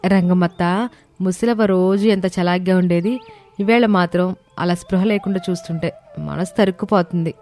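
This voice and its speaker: A woman voicing an anxious, caring village woman in an animated moral story. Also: fairly low pitch, moderate pace, pitched below the performer's own voice, lightly acted. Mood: sorrowful. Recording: music behind the voice.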